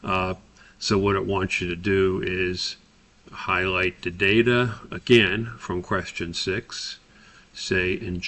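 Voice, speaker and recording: A male instructor with a low voice and a gravelly fry talks through an on-screen software lesson. His delivery stays quite flat as he explains each step and thinks aloud. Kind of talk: spoken screen-recorded software tutorial.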